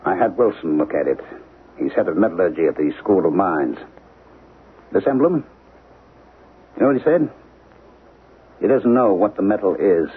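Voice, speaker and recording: A man's voice: low voice, expressive, moderate pace, long pauses, breathy edge, heavily acted. An actor playing an agitated, insistent man convinced of alien invaders, in a 1970s radio drama. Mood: urgent, certain. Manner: insistent, intense, hesitant when distracted. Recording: noisy, phone-like 1970s radio broadcast.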